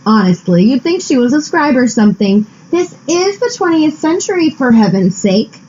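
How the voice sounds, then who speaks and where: A woman's voice: high voice, sing-song, moderate pace, mellow, lo-fi sound. A woman playing an irritable actress in an old radio cast, sarcastic and complaining. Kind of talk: amateur stage acting over video call. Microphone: video call.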